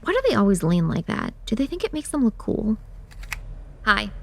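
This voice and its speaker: A woman's voice: mid-range voice, big pitch swings, moderate pace, creaky, a bit bright, slight background noise. An actress playing a dry, sarcastic young woman mortician who sees monsters, in a horror audio drama. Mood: wry, confiding. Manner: dry, sarcastic, blunt, swearing. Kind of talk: audio drama acting with confiding asides.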